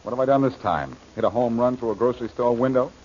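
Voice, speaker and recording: An actor playing a cool, wisecracking amateur sleuth with mock-polite sarcasm in a muffled old radio drama. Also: male voice, medium pitch, quick pace, a little mellow, lightly acted. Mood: sardonic, cool. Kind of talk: 1940s radio acting. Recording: muffled, faintly hissy old radio recording.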